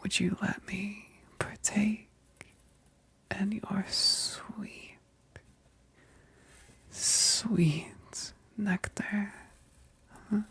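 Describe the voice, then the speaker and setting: A woman playing a female vampire best friend, tender yet menacing, in an intimate ASMR roleplay, whispering breathily and haltingly. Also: mid-range voice, fairly even intonation, a bit bright, slightly rough, pitched above the performer's own voice, full character voice. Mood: hungry, coaxing. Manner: slow, halting, intimate, with sighs.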